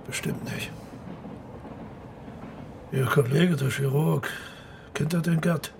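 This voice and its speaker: A man's voice: fairly high pitch, fairly even intonation, slow pace, gravelly, background noise, full character voice. An actor playing a bitter older widowed man in a radio drama, blunt and colloquial, speaking in terse fragments. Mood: suspicious, wary. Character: gruff, bitter old widowed drinker defending his friend. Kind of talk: naturalistic radio drama dialogue.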